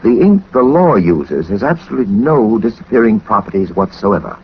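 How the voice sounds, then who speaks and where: An actor playing a suave, confident gentleman detective in a 1940s radio drama, speaking with dry, formal wit. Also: on the low side, sing-song, a little fast. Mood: assured explaining. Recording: muffled, noisy 1940s radio recording.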